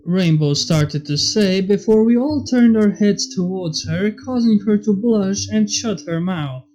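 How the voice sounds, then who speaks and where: A man's voice: high voice, unhurried, dark tone, low-quality recording. A man reading fan fiction aloud as scripted story narration.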